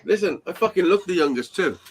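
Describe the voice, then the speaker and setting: A man's voice: high voice, animated, quick pace, breathy edge. Crude, teasing man in his forties. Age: 40s. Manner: crude, teasing, swears freely. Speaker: panel regular. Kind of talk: casual banter on a live stream.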